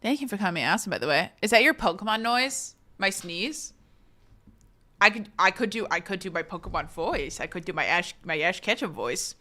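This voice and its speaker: A young woman streamer chatting casually and reading live comments, swearing freely and restarting her sentences. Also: brisk. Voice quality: says her voice is fairly low and relaxed when tired.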